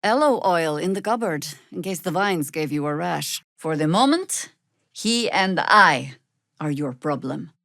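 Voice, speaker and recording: A woman playing a seasoned, wry, world-weary warrior druid in a video game, speaking in a dry, sardonic, measured way. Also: low voice, expressive, vocal fry, a bit bright, no echo, heavily acted. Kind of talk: dramatic video game character dialogue.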